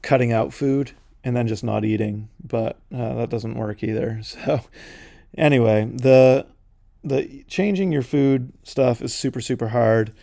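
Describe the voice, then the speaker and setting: A man's voice: mid-range voice, measured pace, gravelly, mellow, no echo, studio quality. A man in his forties casually rambling as a podcast host while he paints, drifting into side notes with lots of fillers. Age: 40s.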